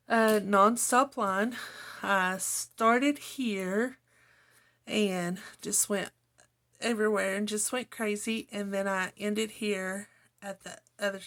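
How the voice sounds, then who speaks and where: Slow-talking, slightly hoarse middle-aged woman. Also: medium pitch, fairly bright tone. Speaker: host of a craft channel. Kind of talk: casual chat to viewers while journaling, partly reading own notes.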